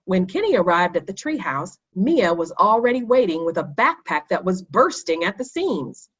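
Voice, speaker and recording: A woman reading a children's story aloud, addressing young listeners in clear, instructive phrasing. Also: fairly low pitch, big pitch swings, moderate pace, fairly bright tone, highs cut off. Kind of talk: expressive read-aloud for children.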